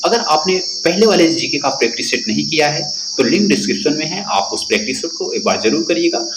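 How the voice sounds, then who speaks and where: A man's voice: a little high, expressive, quick pace. A man teaching an exam quiz lesson, speaking directly to students and repeating questions and answers. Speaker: exam-prep instructor. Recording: echoey room, loud insect-like background noise.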